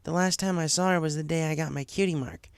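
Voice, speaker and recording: A man's voice: on the high side, fairly even intonation, quick pace, smooth, dry recording, pitched above the performer's own voice, close to an everyday voice. A man voicing a brash, tomboyish young female pegasus pony with a casual, blunt way of talking in a story reading. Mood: wistful.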